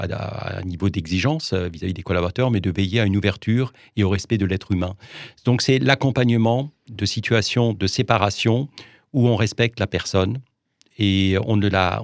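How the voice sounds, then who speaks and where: Low gravelly Alsatian-born man. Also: dry recording, clean studio sound. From Alsace, France. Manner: measured, hesitant, many restarts. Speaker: interviewee, business executive. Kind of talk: interview conversation.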